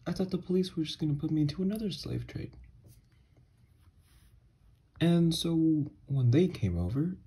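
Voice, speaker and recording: A man playing an obsessed, remorseful escaped mental patient and scientist in a halting, husky ASMR horror roleplay. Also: fairly high pitch, lively intonation, mellow. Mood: hesitant, uneasy. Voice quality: husky, breathy. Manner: halting, hesitant, confessional.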